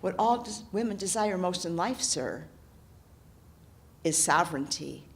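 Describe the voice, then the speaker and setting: A woman's voice: fairly low pitch, animated, unhurried, husky, heavily acted. A woman storyteller voicing an earnest, courteous king who stammers and pleads. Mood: earnest, pleading. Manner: stammering, polite. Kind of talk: oral storytelling.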